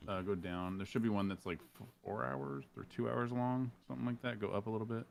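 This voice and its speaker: A man's voice: fairly low pitch, fast talker, gravelly. A man speaking as a streamer and host in casual, informal chat, often breaking off his sentences.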